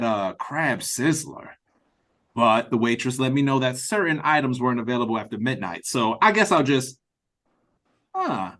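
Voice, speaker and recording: Man playing animated, cheeky restaurant customer. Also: medium pitch. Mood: chatty, polite. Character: chatty, cheeky, hungry male restaurant customer. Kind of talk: audio drama read-through. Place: remote reading over a video call. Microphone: video call.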